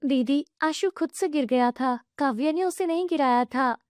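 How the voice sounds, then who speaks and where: Cartoon role: a woman doing a wronged young mother, soft and pleading, high voice. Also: moderate pace, no echo, clean studio sound, lightly acted. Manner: pleading, earnest.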